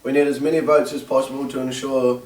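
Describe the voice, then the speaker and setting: A man interviewing his friend, reading steady prepared questions. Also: medium pitch, quick pace. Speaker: interviewer, friend nominating the guest. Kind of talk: semi-scripted interview questions. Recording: distant roomy camera sound.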